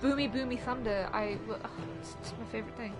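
A young woman chatting excitedly and swearing playfully with friends over voice chat during a game. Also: on the high side, fast, music in the background. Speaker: gamer on voice chat. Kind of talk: casual gaming banter.

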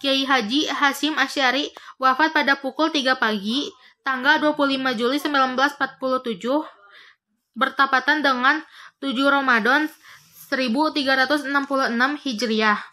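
Bright high-voiced young woman reading. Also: normal speed. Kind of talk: reading a prepared text aloud.

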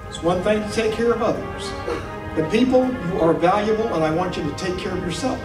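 A man's voice: sits high, fairly quick, over music. A man giving an emphatic, preacher-like congratulatory speech at a podium in an auditorium. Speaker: ceremony speaker. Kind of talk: inspirational speech. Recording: echoey hall, distant mic.

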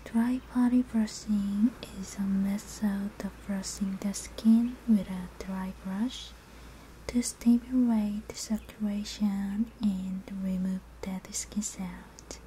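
Slow, whispering ASMR woman. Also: medium pitch, fairly even intonation, low-quality recording. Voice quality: soft, breathy whisper. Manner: gentle, soothing, unhurried instructions. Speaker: ASMR artist playing a spa therapist. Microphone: close microphone. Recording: faint background noise, ambient sound.

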